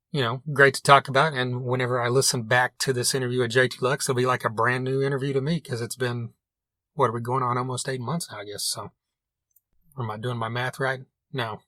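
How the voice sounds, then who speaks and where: A man's voice: mid-range voice, bright, forceful tone, dry recording. A man hosting a podcast, rambling casually and correcting himself as he introduces an interview. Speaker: podcast host.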